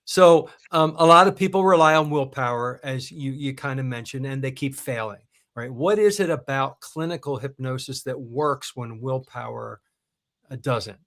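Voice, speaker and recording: A man's voice: medium pitch, normal speed, fairly bright tone. An easygoing man hosts a casual interview and asks his questions plainly. He keeps things moving with short affirmations while his guest talks. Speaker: podcast host.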